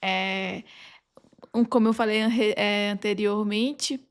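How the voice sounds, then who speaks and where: A woman's voice: medium pitch, steady tone, slow, smooth. A woman with a Brazilian accent speaking as a podcast guest, explaining earnestly in a conversational way. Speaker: podcast guest, legal advisor.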